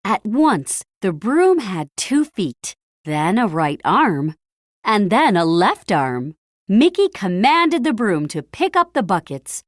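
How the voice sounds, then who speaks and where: A woman reads a children's story aloud as its narrator. Her delivery is lively and melodic, moving at a measured, slightly slow pace. She leans on key phrases for dramatic emphasis, and the sound is dry with no room echo. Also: mid-range voice.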